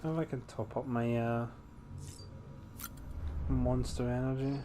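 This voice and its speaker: A man's voice: medium pitch, fairly even intonation, takes it slowly, mellow. Casual male gamer over background noise. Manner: informal, reading on-screen text aloud. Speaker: gameplay walkthrough host. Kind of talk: casual gameplay commentary.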